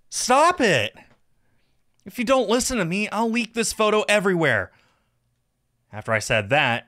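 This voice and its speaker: A man's voice: fairly low pitch, sharp tone. A man streaming a game and narrating its visual novel text aloud.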